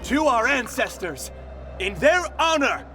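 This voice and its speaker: An actor playing a sharp-tongued village man in a fantasy game, pointed and expressive. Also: very high voice, unhurried, full character voice. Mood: festive. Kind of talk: fantasy video game voice acting. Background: music.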